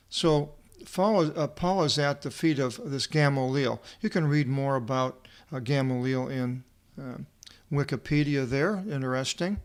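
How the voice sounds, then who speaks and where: A man giving an informal verse-by-verse Bible lecture as a teacher, reading aloud and explaining word by word in short, halting phrases. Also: mid-range voice. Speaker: Bible teacher and translator presenting a video seminar.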